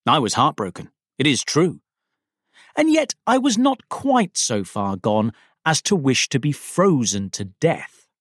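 A man reading a Victorian story aloud as an actor, with wry, self-deprecating humour and deliberate, dramatic phrasing. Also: medium pitch, big pitch swings, husky, dry recording, studio quality. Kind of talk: expressive literary narration of a Victorian story.

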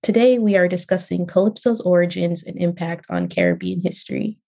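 A woman's voice: lowish voice, huge pitch swings, fairly quick, mellow. A woman hosting a podcast, delivering a scripted introduction and welcoming a guest. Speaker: podcast host.

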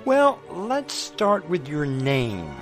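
An attorney with a lively, swinging voice, pressing hard and tripping over his words. Faint music sits beneath him in this radio horror play. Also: a little high, very slow, full character voice. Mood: accusing. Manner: probing, then agitated and stammering. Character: confrontational male attorney, growing panicked.

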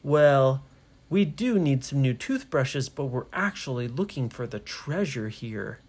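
A woman's voice: rumbling low, animated, unhurried, a little mellow, slightly rough, full character voice. A woman voicing a confident, helpful boy hero in a children's story, speaking in a casual, matter-of-fact way.

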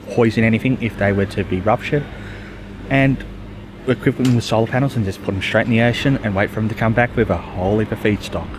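Low-voiced earnest man, noisy recording. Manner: earnest, musing, with many fillers. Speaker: guest futurist. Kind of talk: interview answers. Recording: noisy background.

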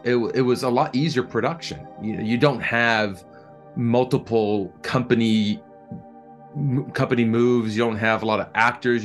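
A man answering interview questions as a producer, speaking thoughtfully and conversationally, often halting and repeating words. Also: medium pitch.

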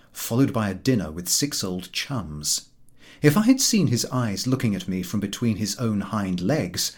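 A man narrating a humorous literary story from a script in an expressive storytelling manner. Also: fairly low pitch, breathy edge. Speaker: audiobook narrator.

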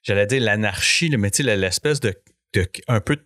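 A man's voice: fairly low pitch, brisk, a bit bright, dry recording. A man with a Québécois French accent, hosting a casual chat and often stammering as he searches for his words. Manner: hesitant, stammering restarts. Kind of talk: casual conversation.

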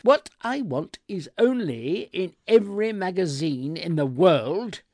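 A man acting out a flustered, indignant gentleman shopper in a comic story, sputtering and insistent. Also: high-pitched, very animated, a little slow, gravelly, dry recording, clean studio sound, pitched above the performer's own voice, heavily acted. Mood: indignant rage.

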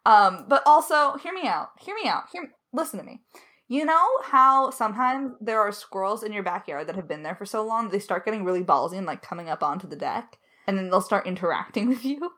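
A woman with lively, swooping pitch talks casually as a writer answering questions. She starts hesitantly, wanders into tangents, then playfully insists on her point. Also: a little fast. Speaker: audio drama lead writer answering questions. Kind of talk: casual unscripted chat.

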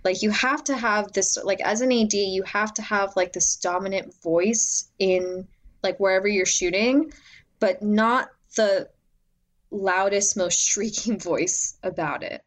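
A young woman speaks in a loud voice, relaxed and chatty. She rambles with lots of "like"s and quick restarts, as a guest in a casual interview about her work on film sets. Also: medium pitch. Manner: animated, filler-heavy, many "like"s. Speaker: assistant director guest.

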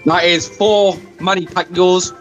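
Deadpan man with high voice. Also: a little slow, highs cut off, soft background music. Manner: deadpan silly answers. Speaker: quiz contestant. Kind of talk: absurd comedy banter.

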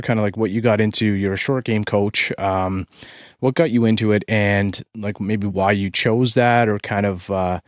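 A Canadian man with a lowish voice hosts a relaxed chat, stringing thoughts together with lots of fillers. His sound is close and dry, with no room around it. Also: dry recording. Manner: relaxed, many fillers, upbeat. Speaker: podcast host. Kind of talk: casual interview chat.

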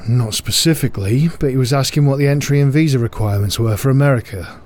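Gruff, jaded harbour master, played by a man. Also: medium pitch, fast, a little mellow, natural voice. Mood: grudging, helpful. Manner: blunt, weary, curt. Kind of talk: audio drama acting.

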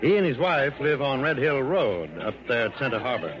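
An actor playing a casual, shrewd, middle-aged insurance executive in an old-time radio drama, speaking with hesitant, mildly formal evasiveness. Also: male voice, medium pitch, big pitch swings, normal speed, lightly acted. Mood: matter-of-fact. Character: casual, bland, shrewd insurance executive in his late forties. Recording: muffled vintage radio broadcast, background chatter.

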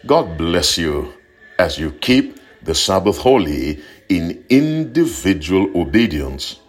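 A man delivers a short broadcast sermon in a very deep voice. His delivery swings widely in pitch, earnest and deliberate, and he repeats his key points for emphasis. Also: very animated, soft background music. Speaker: preacher.

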